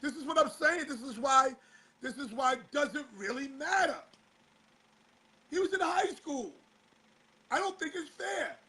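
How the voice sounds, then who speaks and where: High-voiced hoarse male show host. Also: expressive, unhurried, pauses often, bright, forceful tone. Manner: fires rhetorical questions, exasperated. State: slightly hoarse. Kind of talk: casual talk-show commentary.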